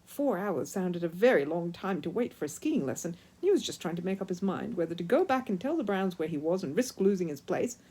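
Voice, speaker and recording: A woman's voice: on the low side, sing-song, a little fast, few pauses, warm tone, slightly rough. A woman narrating a children's story expressively, as a storyteller reading from a book.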